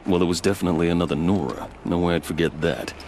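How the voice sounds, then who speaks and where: A man's voice: low voice, quick pace, a little mellow, slight background noise. A man playing a wry, weary fort captain in a video game, dry and understated. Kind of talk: video game dialogue acting.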